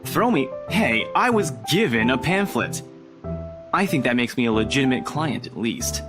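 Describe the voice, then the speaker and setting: A man's voice: medium pitch, big pitch swings, normal speed. A man voicing a casual young man in a visual novel game, teasing and awkwardly evasive with his friend. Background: guitar music.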